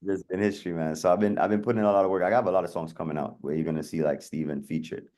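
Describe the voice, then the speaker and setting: A man's voice: low-pitched, fairly even intonation, racing, a little mellow. A man from Atlanta, a DJ and producer, chatting in an interview and telling stories with plenty of "you know" fillers. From Atlanta, USA. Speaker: guest DJ and producer. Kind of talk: casual interview storytelling.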